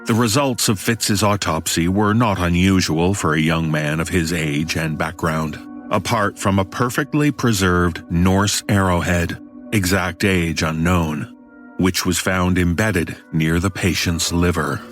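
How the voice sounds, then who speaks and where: Male horror show host, lowish voice, measured theatrical narration, bit of music behind. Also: a bit bright. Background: faint music.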